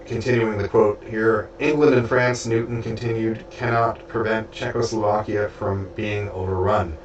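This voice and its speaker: A man reading a book aloud with spoken asides, stuffy and losing his voice, halting and stumbling over names. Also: on the low side, steady tone, lo-fi sound. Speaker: audiobook reader and streamer. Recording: roomy, distant from mic, faint background hiss.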